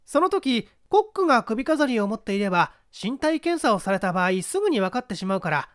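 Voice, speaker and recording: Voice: mid-range voice, big pitch swings, fairly quick, a bit bright, no room sound, clean studio sound. A narrator voicing a clever schoolboy detective, explaining a crime with methodical assurance in an audiobook reading. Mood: confident, explaining.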